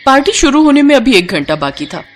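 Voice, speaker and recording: A woman's voice: sits high, sing-song, fast, soft background music. Woman narrating a scripted story.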